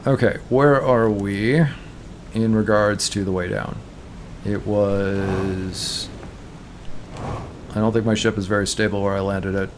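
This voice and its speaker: A man's voice: on the low side, steady tone, slow pace, pauses often, slight background noise. A man from Toronto with a Canadian accent streams a game in casual chat, thinking aloud and correcting himself, his voice tired. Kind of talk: casual let's-play chat.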